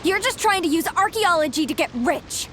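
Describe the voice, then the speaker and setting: A woman's voice: very high voice, lively intonation, moderate pace, fairly bright tone, a little hiss or hum. A woman voicing an earnest female historian who speaks in a sharply reproachful way. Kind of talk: game voice acting. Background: game music.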